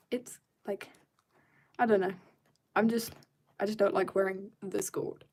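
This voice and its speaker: Young woman, art streamer, casual chat, rambling, self-mocking, quiet mutters while concentrating. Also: mid-range voice.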